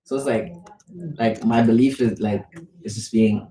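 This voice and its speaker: A man's voice: on the low side, fairly even intonation, measured pace, dark tone, recorded from a distance. A young man of about eighteen from the Bahamas, talking casually and searchingly about religion with lots of filler words. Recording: echoey, off-mic, faint noise.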